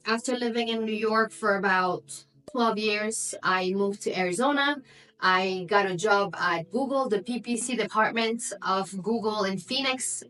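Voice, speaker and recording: A woman's voice: mid-range voice, a bit bright, rough recording. A woman in her thirties, raised in Europe after an early childhood in North Africa and not a native English speaker, talking straight to camera in an upbeat, conversational vlog with frequent fillers. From Morocco, raised in Belgium. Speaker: vlogger, entrepreneur. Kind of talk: casual direct-to-camera vlog.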